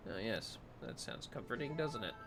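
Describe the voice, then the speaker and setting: Male game streamer, casual self-talk, gravelly fry, lively pitch swings. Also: moderate pace, loud music behind the voice. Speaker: livestreamer playing a video game. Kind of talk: casual gameplay chat mixed with reading dialogue aloud.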